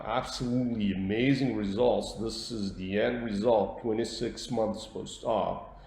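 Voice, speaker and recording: A man with a Portuguese accent presenting an instructional webinar as a dentist, repeating words for emphasis in halting phrases. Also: lowish voice, vocal fry, darkish tone. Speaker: dentist giving a webinar lecture. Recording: roomy echo with light background noise.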